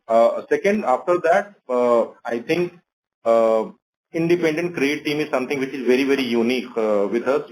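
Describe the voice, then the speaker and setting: A man's voice: medium pitch, low-quality recording. A man with an Indian accent, a bank executive methodically explaining points on an earnings conference call.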